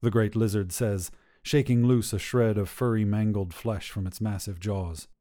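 Mellow, soft-toned male story narrator. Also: lowish voice, fairly even intonation, normal speed. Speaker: podcast narrator and producer. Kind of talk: scripted first-person fiction narration.

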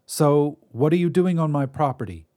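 Narrator voicing a conflict-averse guy, reluctant, conversational, a touch higher. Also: normal speed, dark tone, close to an everyday voice. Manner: reluctant, mildly annoyed, conversational. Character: mild-mannered grown man who hates confrontation. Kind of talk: storybook reading.

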